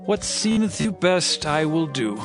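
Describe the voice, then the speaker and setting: A man playing a solemn, tender-hearted king in a dramatized audio Bible, speaking in measured, earnest, regal phrases. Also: a little high, sing-song, over music. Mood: gentle, pleading.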